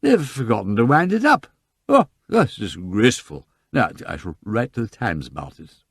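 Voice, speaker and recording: A man reading aloud puts on a drunken young aristocrat who slurs, giggles and rambles. The voice leaps high and loud, swooping widely, in a dry, close sound with no room around it. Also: sing-song, moderate pace, creaky, slightly rough, no room sound, full character voice. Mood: solemn tipsy. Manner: tipsy, giggling, slurred and rambling. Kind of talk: audiobook character voice.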